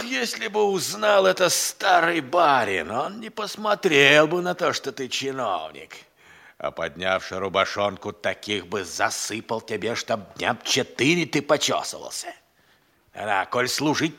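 An actor playing a hungry, idle, crafty manservant in an audio play, grumbling and sighing in folksy, speech. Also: male voice, mid-range voice, expressive, a little slow, vocal fry, sharp tone, full character voice. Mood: aggrieved, grumbling. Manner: folksy, drawling, sighing, grumbling, relishing his words. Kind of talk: theatrical audio-play monologue.

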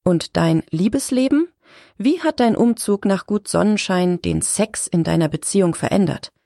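An actress playing a direct, probing interviewer in a satirical radio play. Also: female voice, mid-range voice, lively intonation, normal speed, gravelly, dark tone, no echo, clean studio sound.

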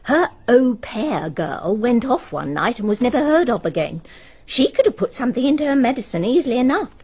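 An actress playing a chatty, gossipy village woman in a BBC radio drama, telling stories in a brisk, knowing manner. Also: a little high, very animated, slightly rough, exaggerated voice. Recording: dull archive radio recording.

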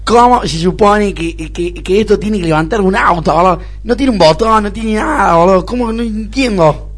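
A man's voice: sits high, moderate pace, fairly bright tone. A man playing a spoiled, snobbish young Argentine businessman in a radio comedy-drama, whining, stammering and repeating himself. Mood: frustrated. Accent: Argentine (Rioplatense).

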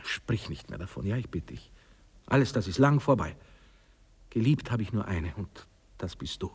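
Pompous husband, deep and animated. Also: male voice, breathy edge, in a large hall, exaggerated voice. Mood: pained, solemn. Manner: halting, hesitant, self-important phrasing. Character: prudish, pompous young husband, evasive and moralizing. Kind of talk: classic German radio play acting.

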